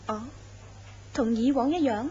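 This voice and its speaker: An actress playing a tycoon's scheming young mistress in a horror radio drama, teasing and knowing, often slipping into soft laughs. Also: female voice, fairly high pitch, measured pace, husky. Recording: dull lo-fi recording.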